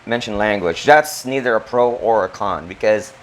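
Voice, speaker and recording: Filipino guy, kinda low voice, lays things out step by step, faint noise behind. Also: low-quality recording. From Philippines. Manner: measured, explains step by step. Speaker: co-host. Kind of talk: casual chat.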